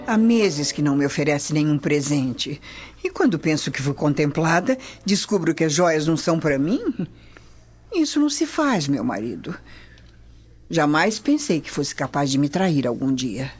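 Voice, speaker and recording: An actress playing a suspicious, wounded wife of a Roman prefect in a radio drama, reproachful and pointed. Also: female voice, low voice, lively intonation, normal speed, slightly rough. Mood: hurt, indignant. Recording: dull recording under loud music.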